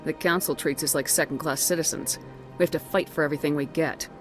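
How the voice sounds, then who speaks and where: A woman's voice: sits low, steady tone, quick pace, faint music behind, lightly acted. A woman playing a blunt, assertive military commander in a video game, speaking in a direct, firm way. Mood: challenging, firm. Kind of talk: video game dialogue acting.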